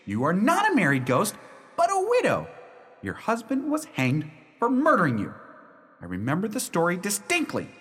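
In a long reverb, a high-strung husband, played by a man pleads emphatically, his pitch swinging widely. He is exasperated and imploring, in the manner of a radio drama. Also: huge pitch swings, moderate pace, fairly bright tone, exaggerated voice. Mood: persuasive. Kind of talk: radio play acting.

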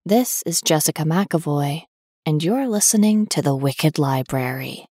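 A woman's voice: low voice, lively intonation, unhurried, darkish tone. A woman announcer delivering a scripted opener for a horror podcast.

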